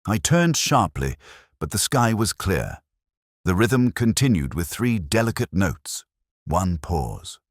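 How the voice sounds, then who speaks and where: A man reading a dramatic mystery story in a solemn, measured, literary storytelling manner. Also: deep voice, sing-song, no room sound, studio quality. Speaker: audiobook narrator. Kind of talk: scripted dramatic mystery narration.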